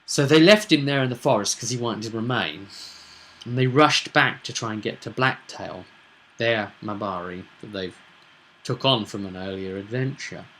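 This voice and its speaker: A man casually recapping a tabletop roleplay session as game master, telling it in a halting, emphatic way with dramatic pauses. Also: fairly low pitch, a bit bright, a little hiss or hum, low-quality recording.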